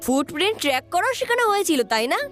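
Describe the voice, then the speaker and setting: A sly young boy, voiced by a woman, teases with mock politeness. His voice is very high and sing-song. Music plays beneath the cartoon. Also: very animated, very fast, fairly bright tone, heavily acted. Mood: teasing. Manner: teasing, mock-respectful. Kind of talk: cartoon dub acting.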